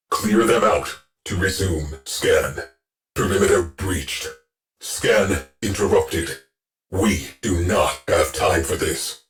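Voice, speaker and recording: An actor playing a gruff male ship AI that gives clipped, deadpan military orders to a warship crew in a video game. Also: low-pitched. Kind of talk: game voice lines.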